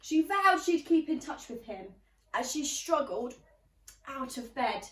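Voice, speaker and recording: A woman reading a children's picture book aloud expressively, pausing to ask her young listeners questions. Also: high-pitched, very animated, far from the mic, slight background noise. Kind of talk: animated children's story reading. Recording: roomy, echoey, away from the mic.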